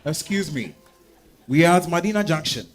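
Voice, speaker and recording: A man playing a polite, gentle young suitor, soft-spoken, in a dramatized stage reading. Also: a little high, lively intonation, moderate pace, lightly acted. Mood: courteous.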